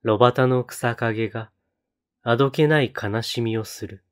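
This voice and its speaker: A man reciting poetry in a solemn style, with measured, deliberate phrasing and pauses between lines. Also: medium pitch, fairly even intonation. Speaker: poetry reader on a read-aloud channel.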